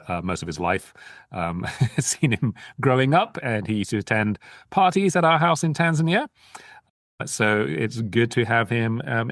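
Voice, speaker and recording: A man co-hosting a podcast on a video call, asking his guests measured questions. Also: medium pitch, fairly bright tone, no echo, clean studio sound. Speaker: podcast co-host. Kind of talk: casual podcast interviewing.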